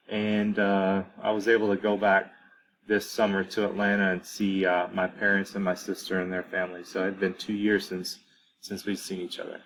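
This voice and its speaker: Relaxed low-voiced male teacher. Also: a little hiss or hum, low-quality recording. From grew up on US military bases in Germany. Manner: relaxed, many ums and uhs. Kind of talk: casual recorded class introduction.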